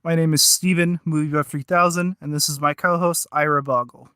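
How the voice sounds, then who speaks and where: A man's voice: a little high, fairly even intonation, brisk, bright, forceful tone, clear, resonant tone, dry recording, studio quality. A man co-hosting a podcast in casual chat, self-deprecating and mildly profane. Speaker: podcast co-host.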